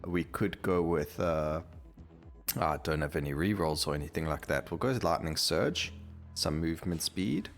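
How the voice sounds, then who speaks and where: A man's voice: very deep voice, normal speed, faint music behind. A man giving casual gameplay commentary as the host of a gaming video.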